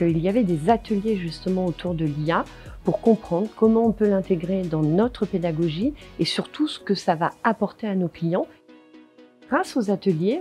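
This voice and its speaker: A woman's voice: mid-range voice, dark tone, slightly rough. A middle-aged woman, a training center director, speaking confidently and emphatically in an interview.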